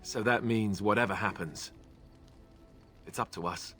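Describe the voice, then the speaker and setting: A man voicing a young male warrior companion who talks in a plain, steady, slightly glum way. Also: on the low side, measured pace, soft background music. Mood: grim.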